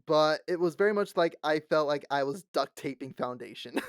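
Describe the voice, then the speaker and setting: A man's voice: a little high, animated, normal speed, smooth, dry recording. Late 20s guy cohosting a podcast, laughs a bunch, does little voices for quotes, dead dry mic. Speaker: podcast co-host. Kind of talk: casual chat.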